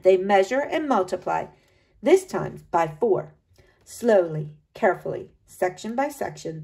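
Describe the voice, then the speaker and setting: A woman voicing a diligent, observant woman assistant in a sculptor's workshop, in a steady descriptive storybook reading. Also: fairly low pitch, voice pushed down.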